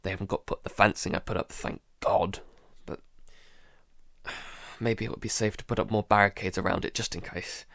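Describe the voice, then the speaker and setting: Male actor as anxious, sleepless shut-in for audio drama diary; low creaky voice, halting, sighing, very dry close sound. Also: steady tone, fairly bright tone, no echo. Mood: weary, wary. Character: Jason, anxious, sleepless man barricaded at home, keeping a diary. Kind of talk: audio drama, first-person diary monologue.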